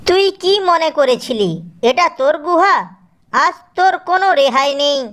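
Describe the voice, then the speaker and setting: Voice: high-pitched, moderate pace, fairly bright tone, voice pushed up. A performer voicing a proud, angry cartoon lion king who speaks imperiously and threatens. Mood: outraged, threatening. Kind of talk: children's cartoon character voice.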